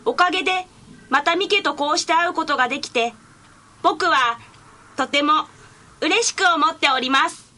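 A woman's voice: high-pitched, moderate pace, pauses often, pushed and bright. A woman voicing a polite, earnest little boy prince in a radio drama, formally and carefully reading out a letter. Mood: earnest, grateful. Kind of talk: Japanese anime-style radio drama acting.

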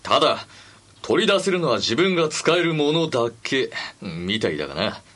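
A man's voice: mid-range voice, very animated, moderate pace, fairly bright tone. A man playing a laid-back, cool young team member in a radio drama, talking in a casual, blunt way. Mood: easygoing. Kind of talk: Japanese anime-style radio drama acting.

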